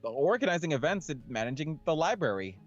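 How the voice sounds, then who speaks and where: Man as an earnest schoolgirl club organiser in a visual novel, explaining her pitch, music behind. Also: sing-song, a little fast, dull audio. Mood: earnest, polite. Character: friendly, persuasive female student club organiser. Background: background game music.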